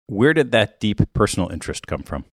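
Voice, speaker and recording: A man's voice: lowish voice, very animated, a little fast, no room sound, studio quality. A man hosting an interview, asking brief, curious follow-up questions. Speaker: podcast host.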